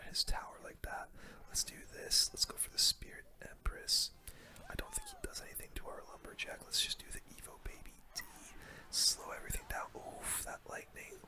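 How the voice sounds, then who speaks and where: Whispering ASMR gamer, bright and breathy. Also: extremely high pitch. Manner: soft-spoken, casual, gamer slang. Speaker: gaming video creator, commentator. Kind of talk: whispered ASMR game commentary.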